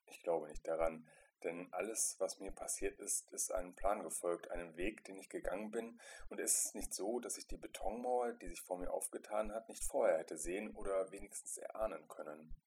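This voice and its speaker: A man narrating a first-person literary story in an introspective, dramatic way, with emphatic swells and quieter drops. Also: low-pitched, moderate pace, slight background noise. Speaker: narrator reading a short story.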